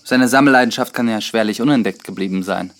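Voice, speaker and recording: A man's voice: lowish voice, quick pace, background noise, lightly acted. An actor playing an earnest, courteous priest in his twenties in a radio drama, formal, hesitant and inquiring. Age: 20s. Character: earnest, courteous 23-year-old priest, curious and easily flustered. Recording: studio drama with reverb and music.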